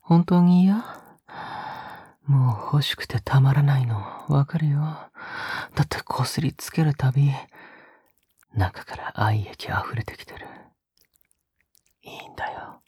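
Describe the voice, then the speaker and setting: A man playing a tender, teasing, dominant male lover in an intimate romantic audio drama, speaking softly and coaxingly, often in a breathy whisper. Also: mid-range voice, a little slow, long pauses, no echo, clean studio sound. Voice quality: breathy, husky.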